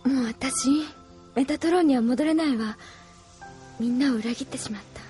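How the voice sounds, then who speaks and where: Actress as a high-voiced, troubled young woman. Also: normal speed, warm tone, soft background music, close to an everyday voice. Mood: remorseful. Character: troubled, self-reproaching young woman, wistful and resigned. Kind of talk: anime audio drama acting.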